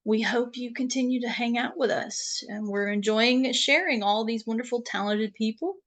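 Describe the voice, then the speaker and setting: A woman's voice: on the high side, normal speed. A woman co-hosting a live poetry show, chatting casually in an effusive, appreciative manner. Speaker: co-host of a live poetry event.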